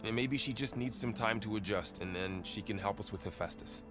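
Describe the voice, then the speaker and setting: Deep-voiced, gentle young companion, acted by a man. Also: a little fast, natural voice. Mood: accepting, hopeful. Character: gentle, caring young male companion in a post-apocalyptic world. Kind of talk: video game acting. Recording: music under the voice.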